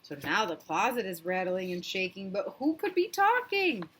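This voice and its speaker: A woman's voice: mid-range voice, big pitch swings, moderate pace, a little hiss or hum. A woman reading a storybook aloud to young children, narrating expressively in an interactive, explanatory way.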